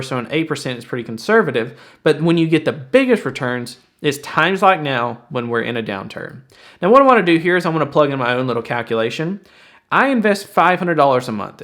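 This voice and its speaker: A man's voice: fairly high pitch, a bit bright. A man in his twenties hosting an explanatory finance video, speaking plainly and casually and repeating phrases for emphasis. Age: 20s. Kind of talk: explanatory investing commentary to viewers.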